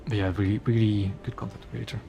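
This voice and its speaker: A man's voice: fairly low pitch, little pitch movement, fast talker, gravelly, warm tone, faint music behind. A man from Belgium with a mixed Belgian and English accent, chatting casually with fillers while streaming a game. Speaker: gaming streamer. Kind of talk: casual commentary while playing.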